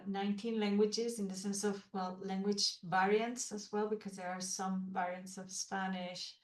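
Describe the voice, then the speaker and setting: Woman podcast host on a video call, halting delivery, roomy echo. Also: mid-range voice, darkish tone. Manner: hesitant, many fillers.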